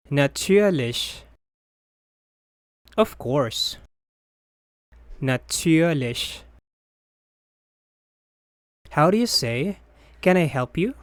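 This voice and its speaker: A man narrating a language course, reading phrases one by one and repeating each with careful pauses. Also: mid-range voice, no echo. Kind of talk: slow scripted phrase drills.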